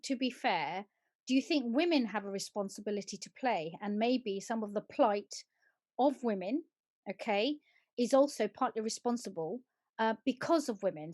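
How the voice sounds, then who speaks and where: A woman hosting a panel discussion, asking measured questions and reading out viewer comments. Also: fairly high pitch, animated, a little mellow, no echo, studio quality. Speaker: host.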